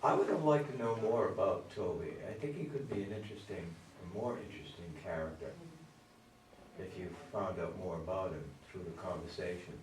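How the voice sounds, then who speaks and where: Low-voiced man, distant in echoey room. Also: fairly quick, darkish tone. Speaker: group discussion participant. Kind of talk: casual discussion. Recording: echoey room, distant mic, clear noise.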